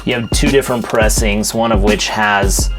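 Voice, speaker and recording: A man's voice: lowish voice, fairly even intonation, normal speed, loud music behind the voice. A man from Los Angeles, talking casually in an interview as a collector. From Los Angeles, California. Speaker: music collector and shop owner being interviewed.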